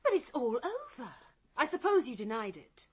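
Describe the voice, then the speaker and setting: A cool, scheming wife in a radio drama. Her voice is a little high with lively movement in pitch. She sounds sharp and bossy. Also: moderate pace, husky, exaggerated voice. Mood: urgent, controlling.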